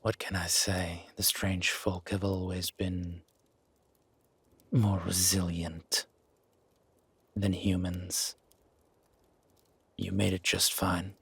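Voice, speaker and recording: Gruff werewolf founder, played by a male actor: heavily rasping low voice, slow guarded delivery, dry and protective, for roleplay audio drama. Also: little pitch movement, fairly bright tone, no echo, exaggerated voice. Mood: calm, measured. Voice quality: husky, gravelly rasp. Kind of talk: roleplay audio drama, intimate one-sided dialogue.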